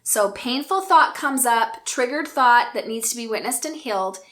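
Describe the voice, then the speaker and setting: Earnest woman in her forties, fairly high voice. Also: lively intonation, moderate pace. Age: 40s. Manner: earnest, reflective, gentle. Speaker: speaker and coach. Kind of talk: personal storytelling talk.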